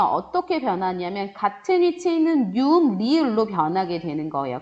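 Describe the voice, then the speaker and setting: A woman teaching a recorded grammar lesson, asking and answering her own questions in a rising and falling teacherly tone. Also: on the high side. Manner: lively, rising and falling teacherly sing-song, voices student questions herself. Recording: dull lo-fi recording.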